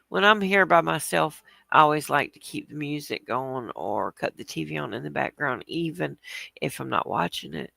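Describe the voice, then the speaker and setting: A woman's voice: deep voice, creaky, no echo. A woman, host of a casual livestream, talking in a chatty, rambling way with a stuffy, congested voice. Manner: chatty, rambling, greets viewers, soft laughs.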